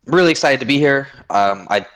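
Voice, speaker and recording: A man's voice: medium pitch, lively intonation, fast talker, a bit bright. A man in his twenties from Oregon, a guest on a podcast, telling his story in a rambling way with many restarts. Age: 20s. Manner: rambling, frequent restarts and fillers. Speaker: guest, army veteran. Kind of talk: casual podcast interview.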